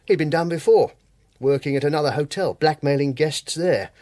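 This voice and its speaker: An actor playing a blunt, knowing police detective inspector in a radio drama, with a deliberate, dry, colloquial manner. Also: male voice, on the high side, darkish tone, no echo, lightly acted. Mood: grave, probing.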